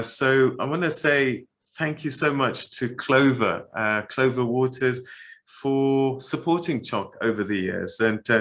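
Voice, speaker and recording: Male host, 50s, mid pitch, warm and halting, video call. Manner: warm, halting with many uh fillers. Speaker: webinar host. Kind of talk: hosting a charity webinar. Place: studio set, indoors.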